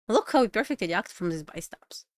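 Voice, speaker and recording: A woman with a Russian accent, a native Russian speaker, chatting casually on a trading livestream in halting English with restarts. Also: mid-range voice, wildly swooping pitch, fairly bright tone, no echo, clean studio sound. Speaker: livestream trader.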